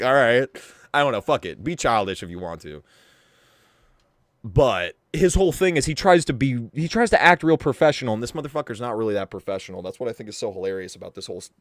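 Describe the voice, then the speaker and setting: A young man with a deep voice giving crude, mocking commentary and swearing freely. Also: fairly bright tone, dry recording, clean studio sound. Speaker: commentary streamer. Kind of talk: casual drama commentary.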